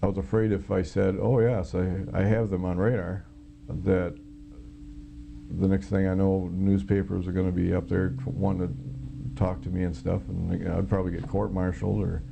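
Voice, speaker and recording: A middle-aged or older American man recounting a personal experience in an interview, halting, plainspoken and matter-of-fact, as a former serviceman would. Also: low-pitched, gravelly, mellow, slight background noise. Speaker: interviewee, former Air Force air traffic controller. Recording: guitar music playing behind the voice. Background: plucked guitar music.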